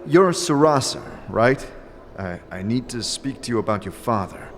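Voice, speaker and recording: A man playing an earnest, tactful wanderer in an audio drama, measured and careful in his speech. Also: medium pitch, expressive, slight background noise, natural voice. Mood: careful, polite. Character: earnest, calm male wanderer, tactful and direct.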